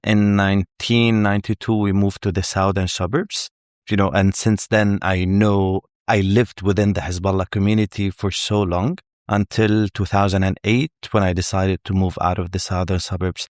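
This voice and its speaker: A middle-aged man from Lebanon answers interview questions in a very low voice. He speaks in a measured way and often repeats a word while he finds the next one. His voice is recorded close and dry, with no room sound. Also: little pitch movement, a little mellow, no echo. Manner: measured, repeats words while finding them. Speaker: journalist guest.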